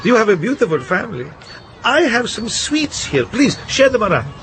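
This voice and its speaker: An actor playing a gallant, polite Russian secret contact in a radio drama, with formal courtesy and a Russian accent. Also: male voice, sits high, very animated, moderate pace, music in the background, rough recording. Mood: courtly, charming.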